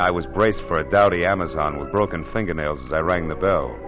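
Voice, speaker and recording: A laconic, world-weary private eye from an old radio drama. His voice sits very low and his wisecracks come out dry. Music plays beneath him. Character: hard-boiled male private detective, cynical and wry. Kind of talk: 1940s radio drama acting and first-person narration.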